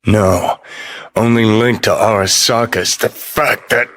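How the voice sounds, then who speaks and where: A man's voice: fairly low pitch, steady tone, slow pace, fairly bright tone. A male actor playing a cynical, hot-tempered rebel rocker in a cyberpunk video game, with a terse, sardonic, swaggering delivery. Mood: gruff, dismissive.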